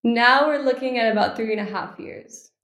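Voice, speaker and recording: A woman's voice: on the high side, normal speed, fairly bright tone, echoey room. An American woman in her twenties from Miami, speaking as a podcast guest in a cheerful, articulate, positive conversational way. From Miami, Florida, United States. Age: 20s. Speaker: podcast guest, bride-to-be and law student. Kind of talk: casual interview conversation.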